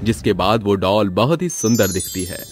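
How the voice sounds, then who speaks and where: A man's voice: fairly low pitch, animated, moderate pace, faint music behind. A man narrating a scripted moral story as the storyteller.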